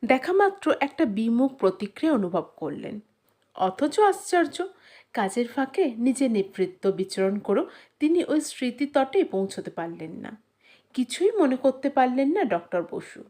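Female audiobook narrator, higher register, very animated intonation, measured phrasing with dramatic pauses. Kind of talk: expressive scripted narration of a literary short story.

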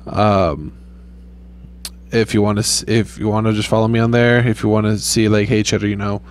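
A young man casually talking over his mobile gameplay in a rambling, laid-back way, with a flat, low-key voice and loose swearing. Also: normal speed, smooth. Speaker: gaming YouTuber.

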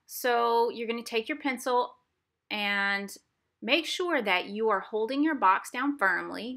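A woman's voice: on the high side, creaky, bright, forceful tone. A woman art instructor giving a simple, explanatory craft demonstration for children. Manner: simple, explanatory, addressing young viewers. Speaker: art instructor for a museum family program. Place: indoors at a craft table.

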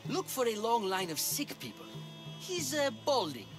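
Casting: earnest, flustered apprentice physician in a game, high animated voice, music behind. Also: male voice, normal speed, exaggerated voice. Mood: polite, helpful. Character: earnest, anxious male ancient Greek physician's apprentice. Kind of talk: dramatic video game acting.

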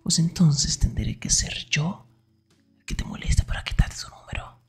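A man acting a grumpy, jealous, protective young best friend in an intimate Spanish-style ASMR roleplay, breathy and often whispering, defensive and sarcastic. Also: on the high side, normal speed, soft background music. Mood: teasing, sarcastic.

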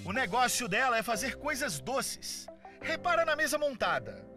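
A man's voice: extremely high pitch, big pitch swings, normal speed, over music. A man narrating a TV news feature in a chatty, colloquial, upbeat voice-over.

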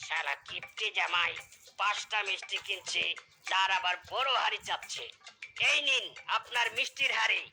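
A woman's voice: medium pitch, normal speed, over music, natural voice. A woman voicing a blunt, exasperated sweet-shop seller in a comedy cartoon, explaining things with open scorn. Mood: muttering scorn. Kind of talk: comedy cartoon character dialogue.

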